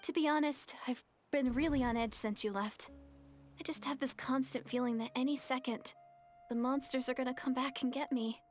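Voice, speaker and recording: A woman's voice: high voice, steady tone, normal speed, a little hiss or hum, natural voice. A woman voicing a timid, scared young woman in a video game, speaking hesitantly and apologetically. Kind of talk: game voice acting.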